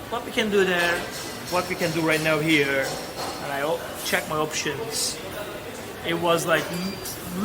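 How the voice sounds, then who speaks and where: A man in his thirties with a Turkish accent, telling his story as a podcast guest in candid, halting English full of restarts. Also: high-pitched. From Turkey. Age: 30s. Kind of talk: casual interview chat. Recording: noisy ambient background.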